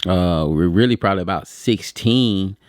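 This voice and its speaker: A man's voice: sits low, moderate pace, dry recording, clean studio sound. A man talking casually and slangily to his viewers, telling a rambling story and acting out bits of dialogue. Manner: slangy, conversational, acts out quoted dialogue. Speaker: print shop owner telling a story to viewers.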